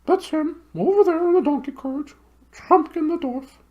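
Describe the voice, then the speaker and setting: A man voicing a sleepy, fussy old male owl who hoots as he talks, in a very high strained falsetto. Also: normal speed, highs cut off. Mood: fussy hooting. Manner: hooting, breathless.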